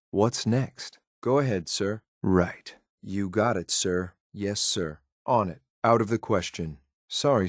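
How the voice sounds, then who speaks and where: A man's voice: lowish voice, no room sound, clean studio sound. A man playing a stern, loyal synthetic soldier in a video game, cool and lethal, with a clipped, formal military manner. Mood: confident, matter-of-fact. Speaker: combat companion in a video game. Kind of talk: scripted video game voice lines.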